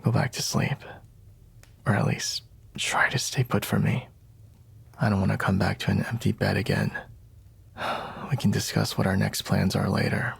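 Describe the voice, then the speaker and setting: A man playing a flustered, secretly tender young bodyguard in an intimate ASMR roleplay, speaking haltingly in a husky, breathy near-whisper. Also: on the low side, flat delivery. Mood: softly protective. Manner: hesitant, halting, grudgingly soft, frequent sighs.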